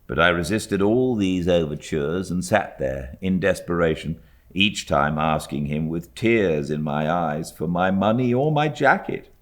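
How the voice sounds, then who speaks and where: British guy reading an old novel, low voice, really animated storytelling, takes his time. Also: a little slow. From Britain. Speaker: audiobook narrator. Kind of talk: expressive scripted narration of classic literature.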